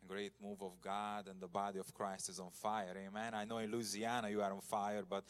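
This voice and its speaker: A man's voice: on the low side, flat delivery, a little fast, clear, resonant tone. A middle-aged man from Hungary with a Hungarian accent, preaching as a guest in a church and sharing his personal testimony earnestly. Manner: earnest, gets loud and emphatic at points of praise. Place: church sanctuary.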